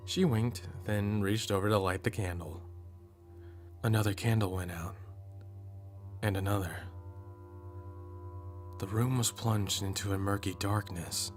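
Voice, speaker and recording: Low-voiced man narrating horror over music. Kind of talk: scripted horror story narration, first person. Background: prominent atmospheric music.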